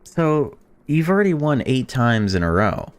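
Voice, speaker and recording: A young man in a let's-play, reading a visual novel aloud and reacting to it, swearing freely and swinging between excited outbursts and flat reading. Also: mid-range voice, measured pace, no room sound. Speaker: gaming YouTuber.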